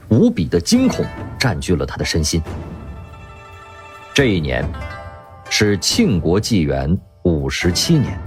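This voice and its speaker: A man narrating a radio drama in a vivid, expressive storytelling manner. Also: fairly low pitch. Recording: music underneath.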